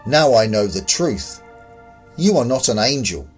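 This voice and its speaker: A man's voice: mid-range voice, big pitch swings, measured pace, faint music behind. A man voicing a defiant human man in an audio story, earnest and accusing. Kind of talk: audiobook character dialogue.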